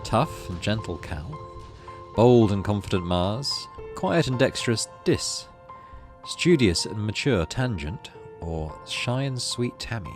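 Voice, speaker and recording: A man's voice: fairly low pitch, expressive, slow pace, over music. A man hosting a gaming video, reading story text aloud expressively and adding casual, musing commentary.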